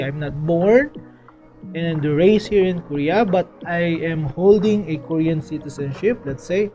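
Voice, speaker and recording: A young man from the Philippines with a fairly high, mellow voice talks through a vlog in a loose, chatty way, sprinkling in fillers and "okay"s. Faint music plays under his voice as he speaks close to the camera in a small indoor room. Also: dull audio. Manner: chatty, repeats "okay" often, frequent fillers and restarts. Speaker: vlogger explaining a scholarship. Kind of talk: casual explanatory vlog.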